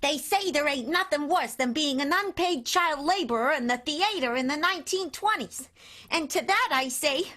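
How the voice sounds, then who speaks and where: A woman's voice: high-pitched, expressive, moderate pace, bright, forceful tone. A woman voicing a cocky young child laborer in an improvised radio play, brash and boastful.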